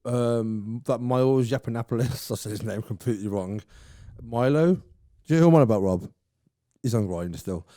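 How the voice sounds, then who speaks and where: A man's voice: mid-range voice, moderate pace, dark tone, no room sound, studio quality. A man co-hosting a podcast, chatting casually, rambling through asides and laughing often. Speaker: podcast co-host. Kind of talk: casual chat.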